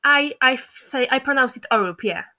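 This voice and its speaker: A woman's voice: sits high, lively intonation, fairly quick, bright, forceful tone. A woman hosting a casual interview, asking questions in an informal, slightly tentative way.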